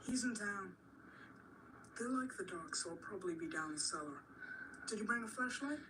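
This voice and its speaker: A woman's voice: mid-range voice, lively intonation, moderate pace, far from the mic, close to an everyday voice. Casting: woman playing a plucky young American boy, matter-of-fact and determined, radio play with heavy background noise. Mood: determined, urgent. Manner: blunt, urgent, matter-of-fact. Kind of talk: radio drama acting.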